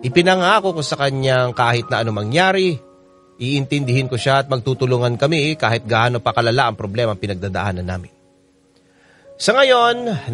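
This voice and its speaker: Earnest, sentimental letter narrator played by a man, radio drama, lively pitch swings, light music underneath. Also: lightly acted. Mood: grateful, tender. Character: devoted spouse reading a heartfelt letter. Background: background music.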